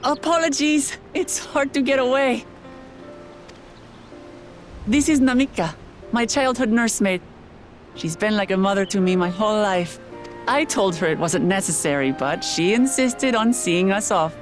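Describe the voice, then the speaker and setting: A woman's voice: medium pitch, measured pace, long pauses, close to an everyday voice. An actress playing an earnest young royal woman who speaks sincerely and reassuringly in a video game cutscene. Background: soft game music.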